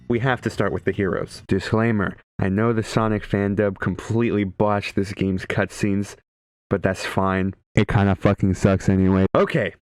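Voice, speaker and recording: A man doing casual, comedic video game commentary with a sarcastic, profane edge. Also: fairly low pitch. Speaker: gaming video creator. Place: indoors, streaming setup.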